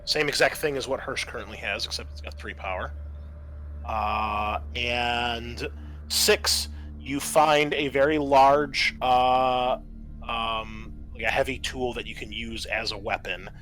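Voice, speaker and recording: A man's voice: medium pitch, steady tone, slow pace, a bit bright. A man acting as game master, casually explaining tabletop game rules. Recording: clear background noise.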